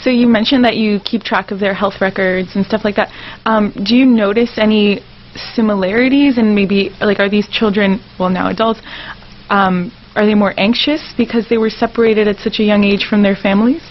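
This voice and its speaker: A woman's voice: mid-range voice, fairly even intonation, moderate pace. A woman casually putting questions to a guest as a radio show interviewer. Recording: dull sound, faint noise.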